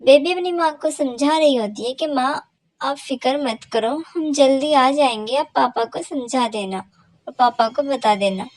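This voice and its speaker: A woman reading scripted storybook narration for an animated cartoon. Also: sits high, a little slow, dry recording.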